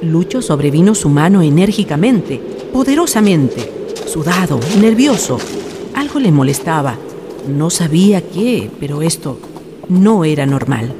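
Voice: medium pitch, very animated, unhurried. A narrator reading a scripted radio drama in a solemn, literary storytelling manner. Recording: noisy ambient radio drama recording.

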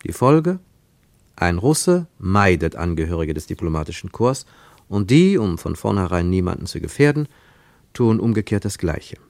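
A man narrating a literary radio play in a reflective, rhetorical manner with deliberate emphasis. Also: deep voice, big pitch swings, darkish tone, no echo. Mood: resigned, explanatory. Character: reflective literary narrator of a postwar travel story.

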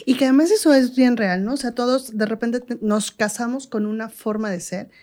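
A woman's voice: a little high, fairly quick, mellow. A woman with a Mexican accent, explaining ideas conversationally as the host of a radio interview.

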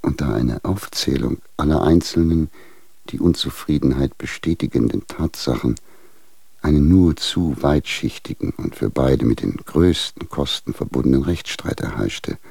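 Pedantic old-style lawyer in a radio play, very deep, dark husky voice, formal. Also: male voice, no room sound, exaggerated voice. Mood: pedantic, reasoning. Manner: dry, measured legalese, pedantic. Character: methodical, pedantic legal adviser weighing a case. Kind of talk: radio drama formal letter reading.

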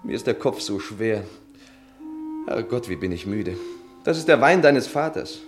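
An actor playing a weary, hard-drinking young charmer in a theatrical, cajoling 1960s German radio play style. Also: male voice, fairly low pitch, expressive, measured pace, music in the background.

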